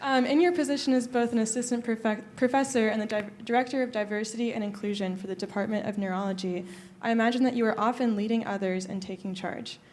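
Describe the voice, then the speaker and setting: A woman's voice: fairly high pitch, fairly even intonation, fast, a little mellow, slight background noise. Friendly young woman moderating a panel. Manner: friendly, light laughs. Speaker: student moderator.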